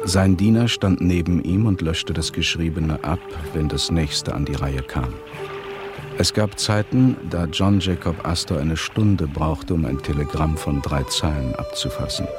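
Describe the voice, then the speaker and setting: A man narrating a radio play in formal, measured phrases. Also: very deep voice, music in the background.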